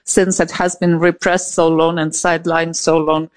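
A woman from eastern Ukraine with a Ukrainian accent, whose first language is Russian, answering interview questions in a measured, earnest way with frequent pauses. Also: sits low, little pitch movement. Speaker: author, interview guest.

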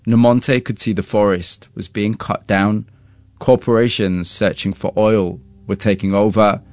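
A man's voice: on the low side, steady tone, measured pace, darkish tone, no echo. A man hosting an interview, moving between scripted storytelling narration and questions to his guests.

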